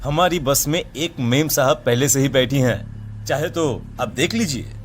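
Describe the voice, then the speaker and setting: A man playing a smooth-talking, ingratiating bus conductor in a cartoon horror story, politely coaxing. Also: mid-range voice, measured pace, slight background noise, lightly acted. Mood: slyly reassuring. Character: smiling, smooth-talking male bus conductor chewing paan. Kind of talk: animated Hindi horror story dubbing.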